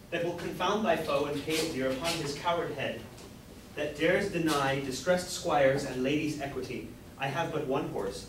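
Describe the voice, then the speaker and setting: A man's voice: a little high, close to an everyday voice. A man playing a grandiose mock-heroic knight errant in an amateur staged reading, with lofty, declamatory delivery. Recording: echoey room from far off, background noise.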